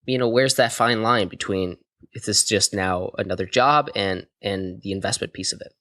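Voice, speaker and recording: A man's voice: fairly low pitch, moderate pace, bright, forceful tone, dry recording. A man interviewing a guest in casual chat, feeling his way through questions with digressions and tentative phrasing. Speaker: podcast host, interviewer.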